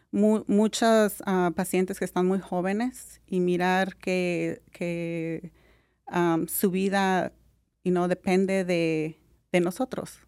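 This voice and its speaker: A middle-aged woman with a Mexican accent, a nurse talking as a guest in a relaxed interview, often filling pauses with "am" and dropping in English words. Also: lowish voice, clear, resonant tone, no echo, studio quality. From Mexico (Jalisco). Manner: relaxed, many "am" fillers, mixes English words. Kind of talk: casual interview.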